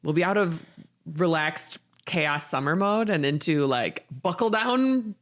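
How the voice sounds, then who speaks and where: A woman's voice: lowish voice, lively intonation, measured pace. A woman co-hosting a podcast in a casual, informal, chatty conversational style. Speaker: podcast co-host. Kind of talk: casual chat.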